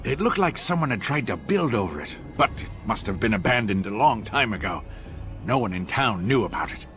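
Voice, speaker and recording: Bright, animated rustic hunter. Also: male voice, lowish voice, fairly quick, soft background music. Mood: storytelling. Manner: chatty, boastful, dramatic. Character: cocky, rambling rustic hunter telling his tale. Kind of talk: video game voice acting.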